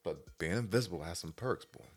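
A man's voice: low-pitched, animated, brisk, husky, soft background music. A man with an American accent co-hosting a podcast, giving blunt opinions in casual, slangy banter.

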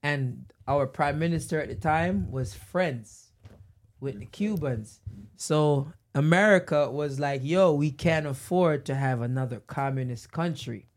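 A man with a slightly high voice hosts a casual discussion. He tells stories in an animated, conversational way, often acting out what people said. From Jamaica. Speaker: host of a discussion with friends.

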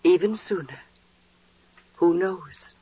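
Husky, heartfelt, devout mother. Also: fairly low pitch, slow pace, dark tone, no echo, heavily acted. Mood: wistful, tender. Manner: heartfelt, theatrical. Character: devout, emotional wife and mother of grown daughter. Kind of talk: 1940s radio drama acting. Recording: dull vintage radio recording.

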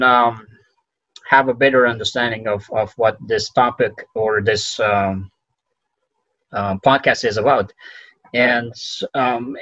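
Man, farsi first language, doctor guest, halting with many fillers, dry dull call sound. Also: medium pitch, fairly bright tone, no room sound. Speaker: physician guest. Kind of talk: conversational interview.

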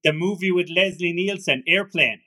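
A man's voice: high voice, moderate pace, sharp tone. A man interviewing a singer in a casual chat, jokey and teasing.

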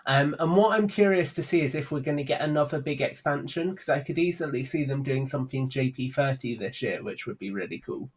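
A man's voice: mid-range voice, fairly quick, hardly any pauses, breathy edge. A man speaking as a guest on a podcast, in casual conversation.

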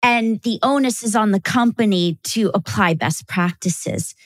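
Slow, measured woman host. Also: medium pitch, no echo. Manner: measured, warm affirmations, thoughtful pauses. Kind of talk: podcast interview.

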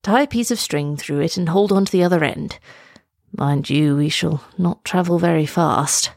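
A woman voicing a grumpy but obliging old turtle in a children's storybook, muttering and unhurried. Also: sits low, gravelly, darkish tone, dry recording, studio quality.

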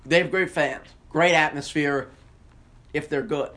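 Bright, higher-pitched American man debating sports. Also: steady tone, unhurried. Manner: emphatic, argumentative, repeats phrases for emphasis. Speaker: sports podcast co-host.

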